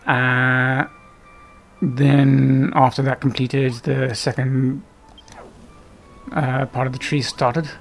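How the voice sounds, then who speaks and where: A man's voice: mid-range voice, level tone, drawn-out words, creaky, long pauses, faint music behind. A man giving casual, unscripted gameplay commentary in a hesitant, rambling way, often trailing off. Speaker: gaming video host.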